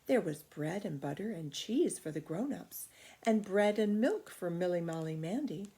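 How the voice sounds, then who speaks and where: A woman reading a children's story aloud in expressive narration. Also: on the low side, moderate pace.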